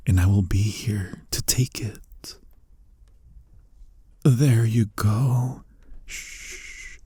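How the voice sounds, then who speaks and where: A man voicing a flirty, tender incubus demon in an ASMR roleplay, breathy and husky, coaxing slowly and reassuringly, often sinking into a whisper. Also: fairly low pitch, mellow, no room sound, studio quality, heavily acted. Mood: soothing, tender. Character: flirty male incubus demon, tender, soothing, gently dominant. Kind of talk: ASMR roleplay, intimate comforting monologue.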